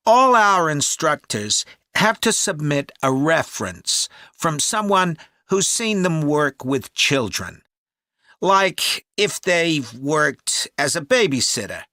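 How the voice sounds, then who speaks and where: A man's voice: fairly high pitch, big pitch swings, bright, forceful tone, dry recording, studio quality, heavily acted. A man playing a helpful, upbeat camp manager explaining things to a caller in an acted listening-test dialogue. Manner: informative, measured, upbeat. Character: helpful, upbeat male cycle camp manager taking a call.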